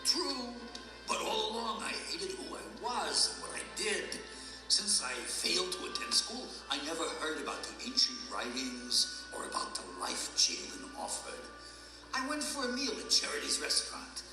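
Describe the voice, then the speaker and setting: An actor playing a reformed former villain in an audio drama, with a hearty, emotional, theatrical delivery. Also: male voice, high voice, very animated, moderate pace. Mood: heartfelt, overcome. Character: reformed former villain, once gruff and wicked, now joyfully emotional. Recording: very echoey hall, music behind.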